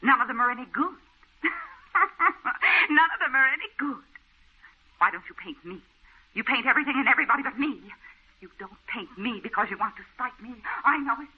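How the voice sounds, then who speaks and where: An actress playing a deranged, spiteful, menacing woman in a shrill voice in an old radio horror play. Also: sing-song, moderate pace, slightly rough, exaggerated voice. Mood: manic spite. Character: deranged, spiteful, menacing wife taunting a painter. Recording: tinny, phone-like old radio recording.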